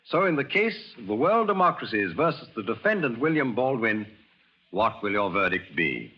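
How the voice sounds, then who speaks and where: An actor in a 1940s radio drama playing a formal, declamatory judge presiding over a tribunal. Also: male voice, mid-range voice, sing-song, normal speed, slightly rough. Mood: solemn. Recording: noisy, dull vintage radio recording.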